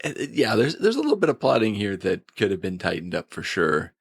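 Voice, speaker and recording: Gravelly-edged man chatting on a podcast. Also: lowish voice, wildly swooping pitch, fairly quick, dry recording. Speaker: podcast co-host. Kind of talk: casual TV episode review chat.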